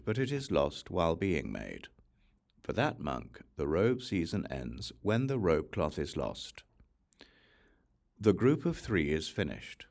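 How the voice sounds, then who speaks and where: Low-pitched man reading scripture aloud. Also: creaky, a little mellow. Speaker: audiobook reader.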